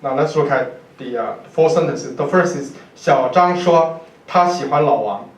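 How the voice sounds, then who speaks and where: A man with a Chinese accent giving an academic lecture from notes in a halting, deliberate manner. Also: a little high. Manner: halting, deliberate, with repeated words. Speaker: university professor giving a lecture. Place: indoor university lecture room, speaker at a podium. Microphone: far camera microphone. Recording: roomy, distant lecture hall pickup.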